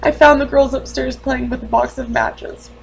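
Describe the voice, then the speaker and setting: A woman playing a grieving, defensive mother in a dramatic monologue, halting and intense. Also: sits high, steady tone, vocal fry, slight background noise, voice pushed up, low-quality recording. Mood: anguished, grieving. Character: grieving, defensive mother confronting her doctor.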